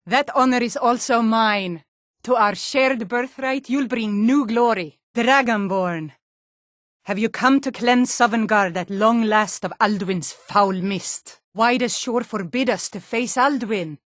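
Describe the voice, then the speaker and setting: A woman's voice: on the high side, takes it slowly, no echo, studio quality. A woman playing a proud, hearty Nord warrior in a fantasy game, declaiming solemnly in lofty, archaic, bardic phrases.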